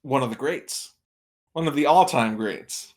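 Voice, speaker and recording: A man's voice: medium pitch, unhurried. A man chatting casually as a podcast guest and film expert, with a wry, tongue-in-cheek manner. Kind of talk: casual chat about movies.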